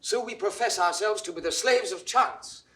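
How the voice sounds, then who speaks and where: An actor playing an ardent, anxious young prince in an impassioned, declamatory classical Shakespearean style. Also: male voice, high-pitched, moderate pace, bright, forceful tone. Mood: wondering, ardent. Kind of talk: classical Shakespearean stage acting, 1960s audio recording.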